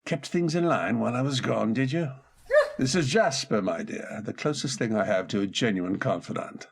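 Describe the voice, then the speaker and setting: A man's voice: mid-range voice, lively intonation, moderate pace, gravelly, slightly rough. A man playing a genial, commanding upper-class master of an estate, courteous and urbane, in an old-time radio drama. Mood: jovial, affectionate.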